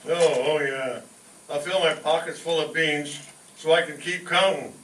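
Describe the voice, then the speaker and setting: A man's voice: fairly high pitch, fairly even intonation, unhurried, a bit bright. An actor playing an eager, bossy, bean-obsessed father in old-time radio comedy style. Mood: busy, eager. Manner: keeps muttering numbers while counting. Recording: echoey distant live stage, noisy room.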